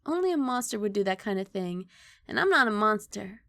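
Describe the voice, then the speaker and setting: A woman voicing a kindly young giant dragon girl in an ASMR roleplay, speaking in a soft, coaxing, reassuring and slightly bashful way. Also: mid-range voice, very animated, brisk, dry recording, studio quality. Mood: reassuring, gentle.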